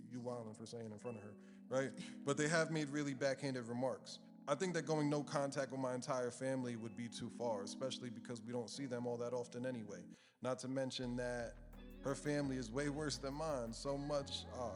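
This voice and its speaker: A man's voice: medium pitch, long reverb tail. A man co-hosting a radio show, reading a listener's letter aloud and dropping slangy asides. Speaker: radio co-host.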